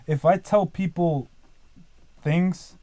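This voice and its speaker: Young man of twenty, podcast guest, mellow tone, dry close sound, loose rambling delivery full of "like" and "dude". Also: sits high, steady tone, slow, no echo. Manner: rambling, leans heavily on "like" and "dude". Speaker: podcast guest, business owner. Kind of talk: casual podcast chat.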